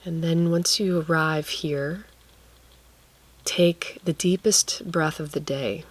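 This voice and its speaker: A woman guiding a relaxation meditation, speaking gently and leaving long pauses between phrases. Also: low voice, fairly even intonation, no echo. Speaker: guided meditation teacher.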